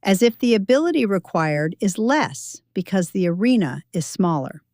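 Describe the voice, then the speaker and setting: A woman voicing a sharp, direct older woman friend who speaks in a blunt, matter-of-fact way. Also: medium pitch, very animated, normal speed, no echo, clean studio sound, lightly acted. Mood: firm, direct.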